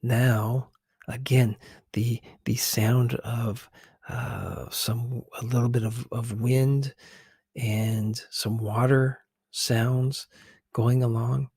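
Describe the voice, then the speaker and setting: A man gives casual ASMR-style commentary in a close, dry recording. His pace is very slow and his manner is gentle and a little hesitant, explaining things bit by bit. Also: mid-range voice, little pitch movement, slightly rough, no echo. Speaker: ASMR video host.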